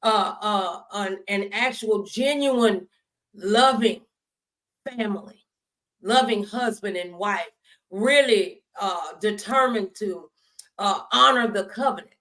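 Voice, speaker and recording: A woman's voice: medium pitch, slow pace, a bit bright, rough recording. An American woman hosting a conversational faith interview, speaking in an emphatic, affirming, folksy way. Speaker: talk show host.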